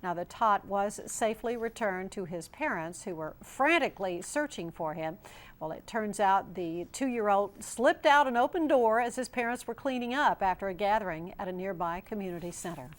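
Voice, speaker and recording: A woman's voice: medium pitch, lively intonation, moderate pace. A woman anchoring a television newscast, reading scripted stories in a formal news delivery.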